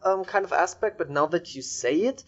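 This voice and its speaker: A man's voice: sits high, lively intonation, a little fast, muffled recording. A man hosting a casual podcast interview.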